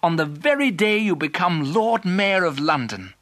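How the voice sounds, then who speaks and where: A man's voice: high-pitched, lively intonation, normal speed, fairly bright tone, no room sound, studio quality, pitched above the performer's own voice. Man voicing a kind, reassuring storybook young woman. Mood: loving.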